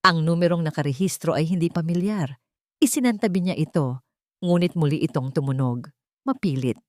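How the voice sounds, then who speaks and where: A woman's voice: low-pitched, a little fast, no room sound, studio quality. A woman with a Filipino accent reading a dramatic scripted romance story as its narrator.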